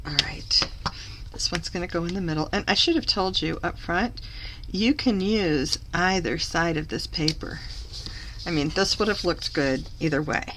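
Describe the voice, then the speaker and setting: A woman recovering from a cough, slightly hoarse, chatting through a papercraft tutorial as she works and correcting herself along the way. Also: on the low side, normal speed, background noise. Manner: chatty, self-correcting, talks while working. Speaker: papercraft demonstrator. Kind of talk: live craft tutorial.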